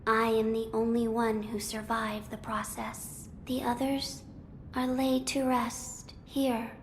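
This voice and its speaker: A woman voicing a solemn, serene young holy girl in a video game, measured and formal. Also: a little high, flat delivery, slight background noise. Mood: solemn, sorrowful. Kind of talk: video game cutscene acting.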